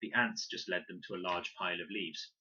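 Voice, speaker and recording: A man reading a children's audiobook in an expressive, dramatic storytelling manner. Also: sits low, fairly quick, husky, highs cut off. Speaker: reader.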